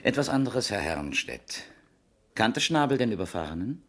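An actor playing a businesslike police detective in a German radio crime drama, asking short, formal questions. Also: male voice, fairly low pitch, big pitch swings, normal speed, a bit bright.

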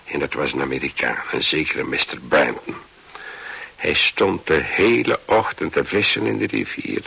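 A folksy local man in a radio play. His voice is deep and husky, with a sharp, forceful edge. He speaks emphatically, like a country storyteller. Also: sing-song, moderate pace, heavily acted. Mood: storytelling. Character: Scottish man called Angus, folksy river local recounting events. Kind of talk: radio play narration and dialogue.

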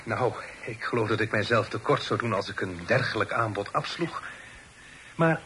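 A man acting in a Dutch radio play as a deferential young gentleman, a grown son with a courteous, slightly hesitant manner. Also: lowish voice, expressive, moderate pace, noisy background, close to an everyday voice. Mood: earnest, respectful. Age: young adult. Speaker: radio drama actor.

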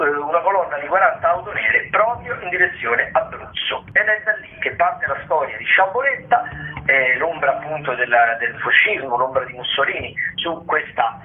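Tinny-sounding man with a high-pitched voice. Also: a little hiss or hum. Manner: rambling, self-correcting, hesitant delivery. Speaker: radio host presenting theatre listings. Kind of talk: informal, improvised radio listings commentary. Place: radio broadcast. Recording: tinny, narrow-band radio or phone sound.

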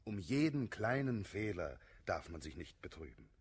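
Maßvoller, spöttischer Freund, lebhaft modulierte Stimme. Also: male voice, sits low, sing-song, husky, heavily acted. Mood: resigned, conciliatory. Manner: measured, ironic, reasoning delivery in rhymed verse. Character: moderate, worldly male friend, gently mocking voice of reason. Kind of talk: classical German radio play acting.

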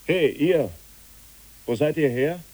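An actor playing a brooding, grieving man in a poetic German radio drama, speaking in halting, musing phrases. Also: a little high, darkish tone. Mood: urgent, calling out. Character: brooding, grieving male friend, haunted by memories. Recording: clear tape hiss.

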